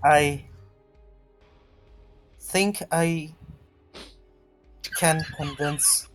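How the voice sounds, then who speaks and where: A man with a slightly high voice runs a tabletop game in a casual way. He speaks slowly and deliberately, halting between phrases. Soft music sits behind him. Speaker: game master of a tabletop roleplaying game. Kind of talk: casual game narration and chat.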